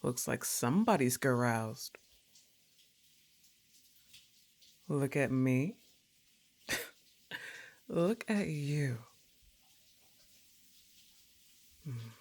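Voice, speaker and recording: Slow, laid-back, teasing goth roommate, acted by a woman. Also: rumbling low, gravelly, darkish tone, heavily acted. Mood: seductive, teasing. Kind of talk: intimate ASMR roleplay acting.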